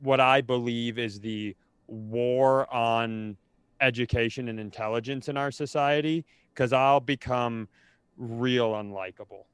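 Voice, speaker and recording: A man's voice: mid-range voice, fairly even intonation, a little slow, smooth, no echo. A man co-hosting a podcast, ranting casually in an exasperated, sweary, rambling way with frequent restarts. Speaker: podcast co-host.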